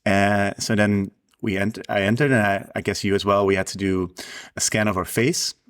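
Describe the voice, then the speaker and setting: Man, 30s, lowish voice, relaxed webcam chat with lots of ums. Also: normal speed, clear, resonant tone. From Netherlands. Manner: relaxed, frequent "um" and "uh" fillers. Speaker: indie app developer, co-host. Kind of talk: casual video-call chat. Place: indoors by wooden doors. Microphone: video call webcam.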